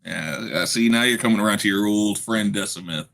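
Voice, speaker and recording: Male game player, very bright tone, casual joking banter with a theatrical streak. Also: on the low side, a little fast, vocal fry. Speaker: player in a tabletop role-playing game.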